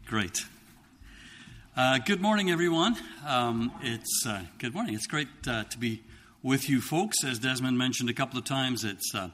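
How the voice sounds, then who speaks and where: Scottish-accented man with a bright voice. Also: mid-range voice, animated, big-room sound. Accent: slight Scottish. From Scotland. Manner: halting, many ums and restarts. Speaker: missionary speaker. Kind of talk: church talk to congregation.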